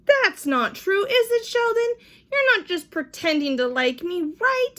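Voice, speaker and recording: Woman as spoiled rich girl: shrill, whiny, bragging. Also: very animated, a little slow, bright, forceful tone, full character voice. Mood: anxious.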